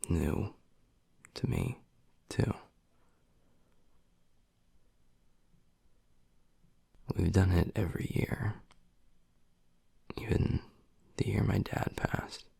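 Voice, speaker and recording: A man voicing a gruff but tender young werewolf boyfriend in an intimate audio roleplay, husky and breathy, speaking low and haltingly. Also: a little mellow, no room sound, exaggerated voice. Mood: wistful, tender. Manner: low, halting, half-whispered delivery.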